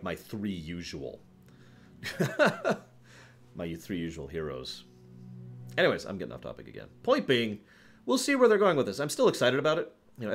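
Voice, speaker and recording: A man hosting a livestream, talking casually about games to a live chat in an emphatic, rambling way, often repeating phrases for stress. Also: deep voice. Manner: rambling, digressive. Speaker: livestream host.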